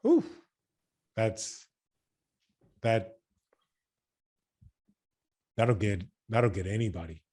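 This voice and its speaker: A man from Louisiana with an American accent, a character coach speaking as a guest in a casual interview, halting with fillers and repeated words. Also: fairly low pitch, warm tone, husky. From Louisiana, USA.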